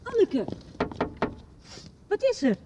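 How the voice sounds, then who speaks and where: An actress playing a bossy but kind-hearted middle-aged woman in a radio soap, coaxing briskly in colloquial, insistent speech. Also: very high-pitched, wildly swooping pitch, noisy background, full character voice. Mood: concerned, insistent. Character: bossy, kind-hearted neighbourly mother taking charge.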